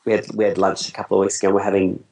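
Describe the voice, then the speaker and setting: Low-voiced man in his forties, rambling. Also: monotone, rapid-fire, darkish tone. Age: 40s. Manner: rambling, self-deprecating, laughs easily. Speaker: wedding photographer guest. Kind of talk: casual conversation.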